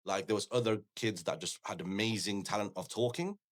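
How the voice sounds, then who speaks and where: A man's voice: fairly low pitch, brisk. A man from Coventry with an English Midlands accent and Punjabi as his first language, telling personal stories as a talkative podcast guest. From Coventry, England. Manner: talkative, anecdotal, often quoting imagined dialogue. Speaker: podcast guest, forex trader and educator.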